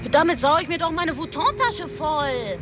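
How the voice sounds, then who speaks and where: A woman's voice: high voice, animated, normal speed. A woman playing a timid, naive young-sounding prostitute in a radio drama, hesitant and a little whiny. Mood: petulant. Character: timid, naive, girlish female prostitute, reluctant killer accomplice. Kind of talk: German radio drama acting.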